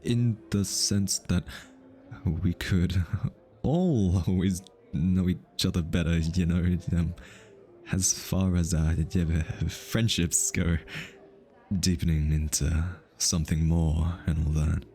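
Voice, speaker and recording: A man acting a low-voiced, easily flustered smitten hitman. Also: fairly even intonation, takes it slowly, dark tone. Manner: teasing, stammers and backtracks when flustered. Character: easily flustered male hitman, teasing, smitten, posing as socialite. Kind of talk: ASMR roleplay acting.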